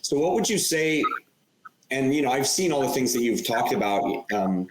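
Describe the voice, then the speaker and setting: A man's voice: medium pitch, sing-song, normal speed, reverberant, noisy background. A man hosting a podcast who asks long, rambling questions, circling back and restarting them with fillers. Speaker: podcast host. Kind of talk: interview questions, conversational.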